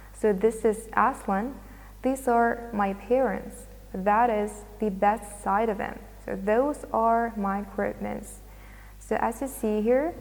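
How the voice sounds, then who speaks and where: A woman teaching an English grammar lesson, explaining slowly and reading examples aloud. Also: mid-range voice, darkish tone, long reverb tail, low-quality recording.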